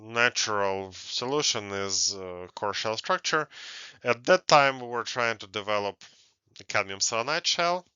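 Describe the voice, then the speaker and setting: A man in his forties giving a scientific conference lecture in a halting, hesitant way with frequent pauses. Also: on the low side, expressive, sharp tone, smooth, no echo. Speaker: university researcher presenting. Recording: dull, muffled lo-fi audio.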